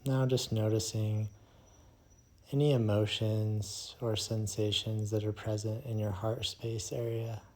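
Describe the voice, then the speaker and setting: Slow, low, mellow male meditation guide. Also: little pitch movement. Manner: slow, soothing, hushed delivery with long pauses.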